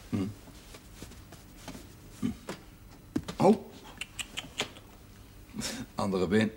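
A wry village doctor gives short orders in an unhurried, slow voice of medium pitch. He is dry and teasing, in an old TV drama with faint noise. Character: brusque, wry village doctor examining a patient. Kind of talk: television period drama dialogue. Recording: faintly noisy old TV soundtrack.

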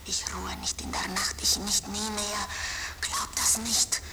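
Whispering, slow, menacing woman. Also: medium pitch, flat delivery. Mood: menacing, defiant. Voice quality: breathy, whispery. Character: vengeful, defiant woman who has lost her fear. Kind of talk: radio drama monologue.

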